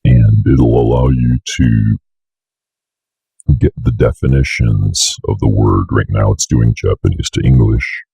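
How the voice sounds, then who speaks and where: A young man giving a casual, offhand screencast tutorial with some swearing, in a very deep, fry-laden voice close to a desk mic. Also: steady tone, unhurried, warm tone, dry recording. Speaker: tutorial host. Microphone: close desk microphone on an arm.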